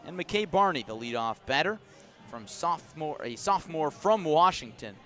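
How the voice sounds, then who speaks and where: A man doing live baseball play-by-play for radio, describing each detail in a measured way and punching up the action. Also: medium pitch, a bit bright. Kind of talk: live sports commentary. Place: outdoor ballpark broadcast.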